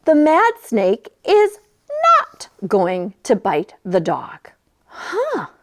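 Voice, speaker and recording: Storytime lady for little kids, playful and super animated, high voice, takes her time. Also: drawn-out words. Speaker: library storytime presenter. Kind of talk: children's storytime, chatty and read-aloud.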